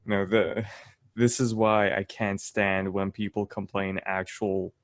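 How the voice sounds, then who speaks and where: A man's voice: on the low side, little pitch movement, a little slow, no echo. Rambling young man on a podcast. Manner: rambling, laughs often, jokey tangents. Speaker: podcast co-host. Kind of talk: casual chat.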